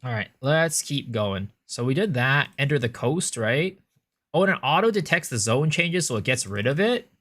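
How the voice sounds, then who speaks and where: A young man with an American accent talks through his game at a home desk mic, his pitch swinging up and down a lot. He chats loosely, muttering to himself, then jumps into loud, excited thanks for viewers. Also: moderate pace. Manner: chatty, thanks viewers with big excited bursts. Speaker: livestreamer. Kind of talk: casual gameplay commentary.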